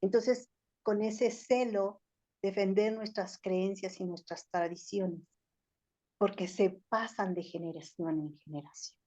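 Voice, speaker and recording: A woman's voice: medium pitch, fairly even intonation, a little slow, mellow. An older woman with a Mexican accent, talking thoughtfully on a discussion panel, full of fillers like "o sea" and "este". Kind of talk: reflective talk-show discussion. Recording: slightly dull lo-fi audio.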